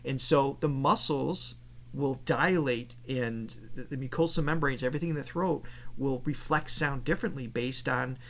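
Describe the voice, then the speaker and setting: A man's voice: mid-range voice, creaky, slight background noise. Nasal man explaining vocal mechanics. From Rochester, New York. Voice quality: slightly nasal. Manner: explains voice mechanics in technical terms. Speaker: vocal coach. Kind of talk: casual explanatory reaction chat.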